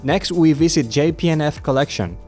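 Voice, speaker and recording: A man's voice: on the high side, a little fast, a little mellow, faint music behind. A man presenting and reading scripted narration for a travel documentary. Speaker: presenter, architect and photographer.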